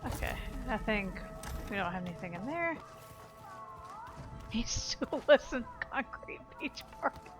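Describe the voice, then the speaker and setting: A woman's voice: medium pitch, sing-song, measured pace, long pauses. A woman doing casual let's-play commentary, chatting to herself as she plays. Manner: chatty, talks to herself while playing. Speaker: gameplay streamer. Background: video game music and sounds.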